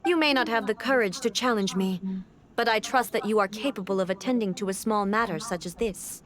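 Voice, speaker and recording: A woman voicing a stern, composed female ruler in a video game, speaking in a formal, measured, authoritative way. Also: medium pitch, a crowd in the background, lightly acted. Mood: stern, commanding.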